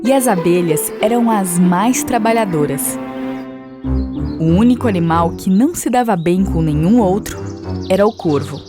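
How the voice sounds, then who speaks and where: A Brazilian woman narrates a scripted fable for children. Her voice sits at an average female pitch. Instrumental music is clearly audible behind her. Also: expressive, normal speed.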